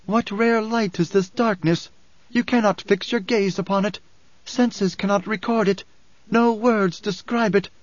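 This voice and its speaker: A man's voice: high voice, steady tone, a little slow, dry recording, dull audio, pitched above the performer's own voice. A man voicing a fierce young priestess and oracle in an audiobook, with a declamatory, incantatory and cryptic manner. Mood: rapturous.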